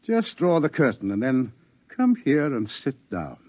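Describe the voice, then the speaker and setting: A hesitant, grave professor. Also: male voice, medium pitch, big pitch swings, unhurried, warm tone, dull audio, slight background noise. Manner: hesitant, explaining. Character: male professor, scientist, wry and grave. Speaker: scientist. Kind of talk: 1950s radio drama acting.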